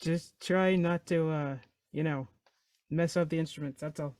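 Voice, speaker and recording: A woman's voice: low voice, steady tone, normal speed, a little mellow, no echo. A woman playing a tabletop role-playing game, talking casually as she improvises.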